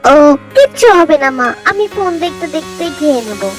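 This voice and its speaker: Woman acting eager mischievous schoolgirl, very high voice, children's horror cartoon, defiant, music behind. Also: very animated, moderate pace. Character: mischievous, excitable schoolgirl obsessed with ghosts.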